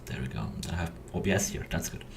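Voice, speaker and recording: A young man with a low voice and an Austrian German accent talks off the cuff on a livestream. He rambles hesitantly, often breaking off and picking up again. Faint noise sits behind him at his computer desk. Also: quick pace. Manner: hesitant, self-interrupting, rambling. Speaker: game developer hosting a livestream. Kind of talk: casual rambling livestream chat.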